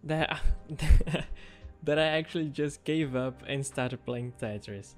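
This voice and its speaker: A man's voice: a little high, slow pace. A man whose first language is Portuguese, narrating a coding project in an upbeat, casual voice-over. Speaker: web developer vlogger. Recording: soft background music under voice.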